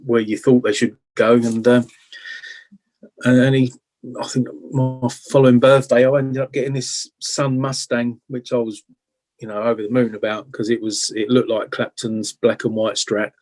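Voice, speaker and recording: A middle-aged man with an English accent chatting casually as an interview guest, reminiscing in an informal, halting, self-effacing way. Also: medium pitch, flat delivery, a little mellow, poor audio. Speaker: interview guest, blues rock guitarist.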